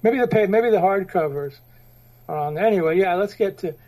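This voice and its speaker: A man with a notably high voice co-hosts a casual comics podcast. He asks friendly questions and fills his talk with "you know" and small hesitations. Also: brisk, darkish tone, poor audio. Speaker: podcast co-host. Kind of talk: casual chat about comics.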